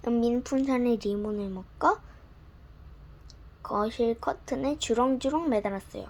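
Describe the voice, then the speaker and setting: A woman narrating a bedtime storybook in a gentle, expressive reading style. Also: on the high side, normal speed.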